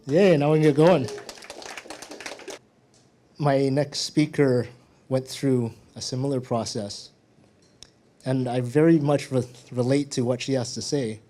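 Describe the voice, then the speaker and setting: A man's voice: mid-range voice, steady tone, unhurried, long pauses, warm tone, a little hiss or hum. A man hosting a live storytelling show, introducing it in a casual, conversational manner. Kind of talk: informal live event introduction.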